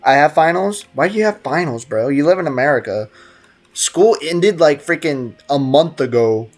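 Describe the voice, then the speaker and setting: A man's voice: on the high side, animated, normal speed, soft background music. Slangy young-sounding male game streamer. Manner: slangy, teasing. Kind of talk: casual livestream gaming chat, reacting to game and chat.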